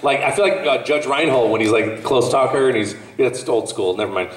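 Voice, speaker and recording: A man with a lyric baritone voice, a voice actor joking and rambling in casual chat on a convention panel. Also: fairly quick. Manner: jokey, laughing, rambling. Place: large convention hall. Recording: echoey hall from far off.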